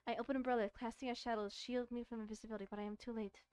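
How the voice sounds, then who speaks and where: A woman streamer reading a poem from a game aloud in a slow, dramatic style. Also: on the high side, level tone, a little mellow, smooth, no echo.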